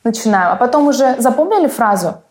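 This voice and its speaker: A woman chatting casually about learning English, animated and laughing as she acts out phrases. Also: a little high, quick pace.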